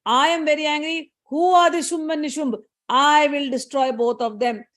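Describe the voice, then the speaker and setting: A woman's voice: high-pitched, slow, fairly bright tone. A woman voicing a fierce, wrathful mother goddess in a retold legend. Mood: furious. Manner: commanding, furious.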